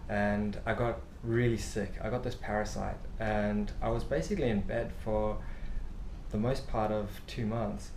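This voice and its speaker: A middle-aged man from South Africa, an author and travel writer, telling stories in a relaxed interview with lots of fillers. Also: on the low side, unhurried. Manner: rambling, warm storytelling with laughs and many fillers. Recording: echoey room with faint noise.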